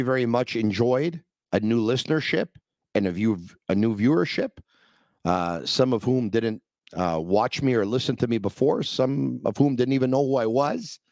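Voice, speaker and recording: A man's voice: mid-range voice, lively intonation, moderate pace, darkish tone, dry recording. A middle-aged man hosting his podcast from a small studio, talking earnestly and upbeat to the camera into a broadcast mic. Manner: speaks English and French, earnest and upbeat. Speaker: podcast host. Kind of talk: casual talk to camera. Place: studio with brick wall, indoors. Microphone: broadcast mic on arm.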